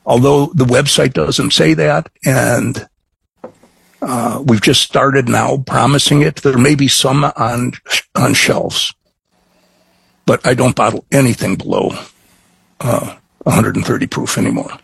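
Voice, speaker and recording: A middle-aged man from Chicago with a Chicago accent, chatting as a guest in an interview, halting with many restarts and fillers. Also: mid-range voice, steady tone, vocal fry, a bit bright, slightly rough, no room sound. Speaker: craft distiller guest. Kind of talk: casual interview chat.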